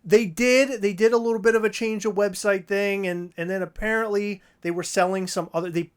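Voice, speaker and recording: Rambling hoarse livestream host. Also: medium pitch, fairly even intonation, a little fast. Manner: rambling, ranting with many fillers and restarts. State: slightly hoarse, losing voice. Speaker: podcast host. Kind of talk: casual livestream chat.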